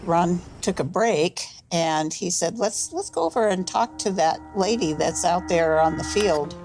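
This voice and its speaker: Low, gravelly-voiced woman reminiscing. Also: animated, measured pace, rough recording. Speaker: documentary interviewee. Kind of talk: unhurried storytelling in an interview. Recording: documentary clip with music behind. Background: music.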